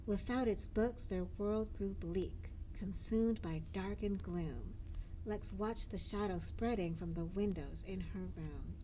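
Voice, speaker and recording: A woman's voice: mid-range voice, slow pace, mellow, slight background noise, poor audio. A woman narrating a children's storybook expressively, as a librarian does at story time.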